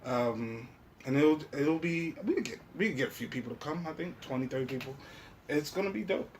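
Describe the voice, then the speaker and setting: A man's voice: on the high side, animated, brisk. A man in a casual podcast chat, talking in an informal, slangy way with plenty of swearing. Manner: profane, slangy, informal talk. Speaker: podcast host. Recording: clear background noise.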